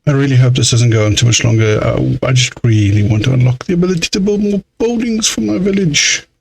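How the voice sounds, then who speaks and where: A man's voice: mid-range voice, a little fast, creaky, a little hiss or hum. A man giving casual let's play commentary in a grumbling, exasperated, mildly sweary way. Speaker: gaming video host.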